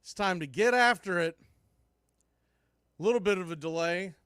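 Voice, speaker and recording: A man's voice: high-pitched, moderate pace, fairly bright tone, clean tone, no echo, studio quality. A man hosting a live baseball radio watch party, hyping the game and calling out chat members by name. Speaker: radio play-by-play host.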